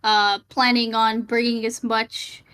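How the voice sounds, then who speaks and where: A woman's voice: a little high, flat delivery, slow, a bit bright, clear, resonant tone. A woman from Sri Lanka, a streamer, talking over a voice call in a casual debate, hesitant, with many fillers and restarts.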